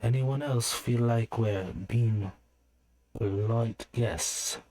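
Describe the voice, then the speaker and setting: A man's voice: mid-range voice, extremely slow, warm tone, pitched above the performer's own voice. Guy doing a wry dagger-wielding rogue in a fantasy audiobook, dry and teasing. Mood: uneasy, dry. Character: wry, watchful male adventurer who wields daggers.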